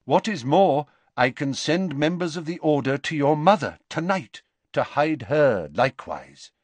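Man doing a calm, courteous old wizard. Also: a little high, dry recording, pitched above the performer's own voice. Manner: quiet, measured. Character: elderly male wizard headmaster, calm, courteous.

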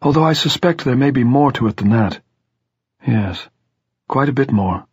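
A man voicing an elderly headmaster who is courtly, grave and resolute, with formal, pedantic, measured diction in an audiobook. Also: mid-range voice, expressive, husky, dry recording, lo-fi sound, voice pushed up. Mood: pedantic, thoughtful.

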